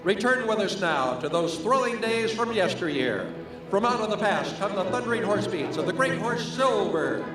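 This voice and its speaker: Prominent orchestral music plays under a man with a fairly high voice. He narrates like an old-time radio announcer, grand and theatrical, drawing out important phrases. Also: sing-song, moderate pace, low-quality recording. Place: performing arts center stage, live. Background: orchestral music accompaniment.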